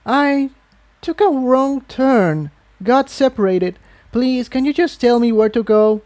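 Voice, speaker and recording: A man's voice: extremely high pitch, expressive, takes it slowly, a little mellow, pitched above the performer's own voice, full character voice. A man voicing a timid female pegasus refugee in a fantasy story reading, hesitant and nervous. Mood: awkward, pleading.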